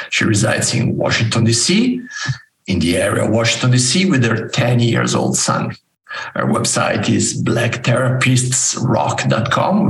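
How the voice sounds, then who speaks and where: A man co-hosting a podcast, reading out a guest's introduction slowly and carefully, then welcoming her. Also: medium pitch, creaky, a bit bright, roomy sound, poor audio. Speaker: podcast co-host.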